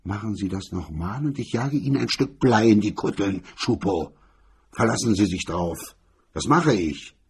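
A menacing hard-boiled interrogator, read by a man in a crime story. His voice is very husky and dark. He delivers threats coldly and deliberately, with an unfriendly edge. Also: on the low side, expressive, heavily acted. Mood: angry. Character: cold, menacing tough man interrogating a captive.

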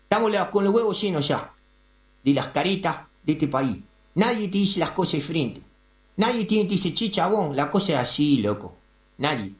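A roomy, echoing recording of a man acting an indignant Argentine radio editorialist. The voice sits fairly high, and the delivery is pompous and crude, like a street orator in a comic radio play. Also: very animated, moderate pace. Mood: ranting. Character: ranting, vulgar, self-important male radio editorialist. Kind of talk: comic radio theatre monologue.

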